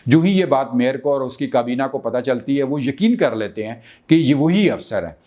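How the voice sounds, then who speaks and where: A man with a Pakistani accent speaks to viewers as a political analyst and show host, deliberately and with frequent drawn-out hesitations. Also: medium pitch, expressive, dark tone. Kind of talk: political commentary and storytelling to viewers.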